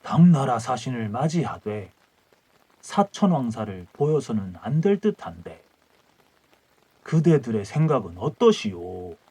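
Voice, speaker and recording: A man's voice: medium pitch, sing-song, measured pace, mellow, husky, low-quality recording. A man voicing a dignified king in a storybook tale, addressing his ministers in stately archaic speech. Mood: grave, deliberate.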